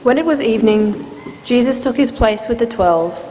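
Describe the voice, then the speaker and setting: A woman's voice: fairly high pitch, lively intonation, normal speed, mellow. A woman giving a formal, scripted narration of a scripture story. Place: large church, live reading. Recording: reverberant church hall, faint noise.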